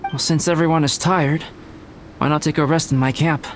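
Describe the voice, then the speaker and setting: A man voicing a calm, scholarly young alchemist in a video game, detached and erudite. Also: on the high side, normal speed, very noisy, close to an everyday voice. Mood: polite. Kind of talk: animated video game voice acting. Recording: game music behind voice. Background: game music.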